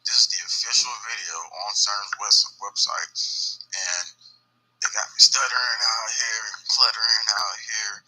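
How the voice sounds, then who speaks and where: A man with a fairly low, raspy voice hosts an online video show. His sound is very bright and forceful. He comments excitedly on conspiracy themes, leaning on emphasis and repetition. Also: moderate pace, rough recording.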